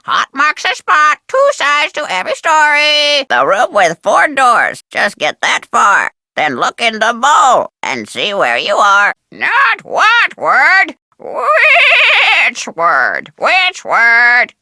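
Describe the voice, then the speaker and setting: Talking parrot for a video game: high, hugely animated, bossy riddler, squawky sing-song repeats. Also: female voice, dry recording, studio quality, exaggerated voice. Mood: urgent, cryptic. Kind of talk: exaggerated video game character acting.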